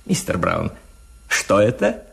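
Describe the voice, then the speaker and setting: An actor playing a scheming ship's purser in a radio drama, speaking in a deliberate, theatrical way. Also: male voice, fairly low pitch, huge pitch swings, vocal fry, breathy edge, full character voice. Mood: sly, confident. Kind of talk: Soviet radio drama acting.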